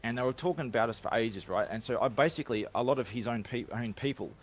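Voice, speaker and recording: A man's voice: mid-range voice, fast, slight background noise. Rambling Australian man telling a story. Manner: rambling, self-assured, frequent restarts. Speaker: guest. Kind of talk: casual podcast storytelling. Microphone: home microphone, remote call.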